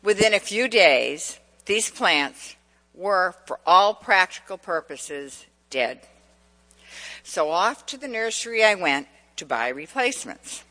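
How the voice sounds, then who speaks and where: A woman's voice: lowish voice, expressive, slow, pushed and bright. A woman with a central Massachusetts accent preaching a sermon, asking the congregation questions and telling personal stories. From New England. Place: church. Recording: long church reverb.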